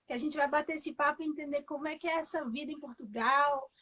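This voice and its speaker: A woman's voice: high voice, steady tone, quick pace, pushed and bright. A Brazilian woman hosting a casual live chat, effusive and repeating phrases for emphasis. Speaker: live stream host.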